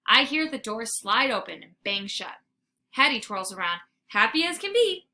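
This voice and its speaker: Bright, animated woman reading aloud. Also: fairly high pitch, normal speed. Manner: animated, theatrical. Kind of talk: expressive children's read-aloud narration.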